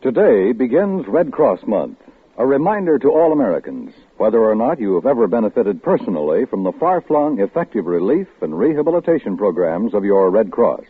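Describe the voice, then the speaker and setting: Brief: male radio announcer, formal and emphatic, husky voice, tinny old broadcast with music under. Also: on the low side. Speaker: program announcer.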